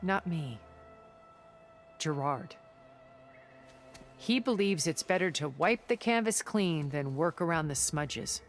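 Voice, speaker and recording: A middle-aged woman of a far-future elite, with a low voice and measured, theatrical phrasing. She is worldly and articulate, a regretful schemer in a game cutscene, with music clearly playing behind her. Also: lively intonation. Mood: resolute disdain. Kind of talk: dramatic video game cutscene acting.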